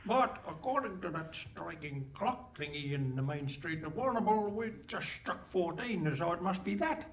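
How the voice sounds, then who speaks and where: A man's voice: on the high side, lively intonation, normal speed. A man playing a cheerful, dim-witted scout in a comic live radio play, guileless and bumbling. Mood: earnest, muddled. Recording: noisy live hall stage recording.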